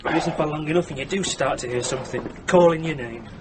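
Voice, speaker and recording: An actor playing an irritable, worn-out, fearful stranded traveller in a radio drama. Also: male voice, fairly high pitch, quick pace, background noise, lightly acted. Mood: irritated. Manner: exasperated, snappy.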